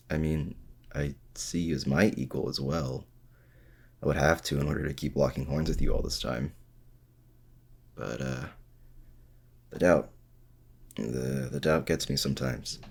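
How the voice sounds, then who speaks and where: A man playing a feared but self-doubting supervillain, confiding casually and haltingly in a heroic rival in an intimate ASMR roleplay. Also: exaggerated voice. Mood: vulnerable, grateful. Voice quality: very deep, gravelly. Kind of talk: intimate ASMR roleplay audio drama, addressing the listener.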